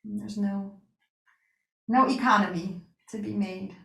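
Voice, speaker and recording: A woman guiding a relaxation meditation with gentle spoken instruction, pausing and reassuring in a soothing way. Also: medium pitch, steady tone, gravelly. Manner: unhurried pauses, soothing reassurance. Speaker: yoga nidra meditation guide. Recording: roomy, echoing sound.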